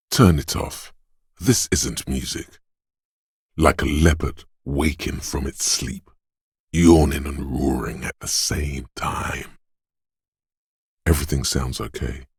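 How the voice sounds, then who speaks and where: A deep, husky inner sense. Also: male voice, no echo, clean studio sound, exaggerated voice. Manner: hushed, measured, quietly descriptive. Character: disembodied male inner sense of perception, observant. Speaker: voice actor. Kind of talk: game voice lines, second-person narration.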